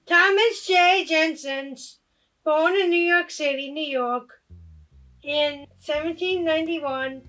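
A woman's voice: high voice, a bit bright, poor audio. A woman reading a short biography aloud from a script.

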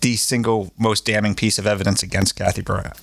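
A man co-hosting a podcast with casual, reactive banter, irreverent and quick to swear. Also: fairly low pitch, little pitch movement, gravelly, a bit bright, no room sound, clean studio sound. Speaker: podcast co-host.

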